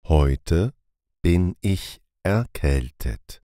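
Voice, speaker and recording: Very deep, slow, dry male voice. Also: no echo. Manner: calm, deliberate reading. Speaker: language teacher. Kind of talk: slow phrase drills, each repeated.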